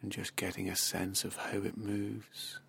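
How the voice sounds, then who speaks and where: A man guiding a hypnosis session, speaking softly and slowly in a half-whispered, soothing delivery. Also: low voice, flat delivery, vocal fry, husky. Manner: soft, slow, half-whispered. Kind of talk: guided hypnosis narration.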